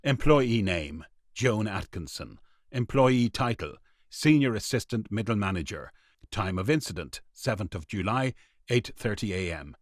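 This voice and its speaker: A man's voice: fairly low pitch, no echo, studio quality, lightly acted. A man playing a dismissive, bureaucratic factory manager dictating a formal report in clipped phrases for a radio play.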